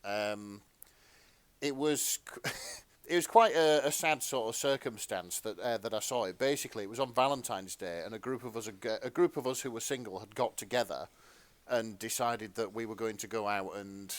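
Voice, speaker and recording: A man's voice: fairly low pitch, normal speed, no room sound. A man co-hosting a podcast, chatting casually in a hesitant, self-correcting way. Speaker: podcast co-host. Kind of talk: casual chat.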